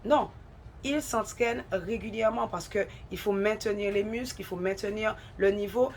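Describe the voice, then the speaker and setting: A woman's voice: mid-range voice, moderate pace, a little hiss or hum. A woman with a head cold and a runny nose gives an earnest devotional talk, urging listeners on and repeating points for emphasis.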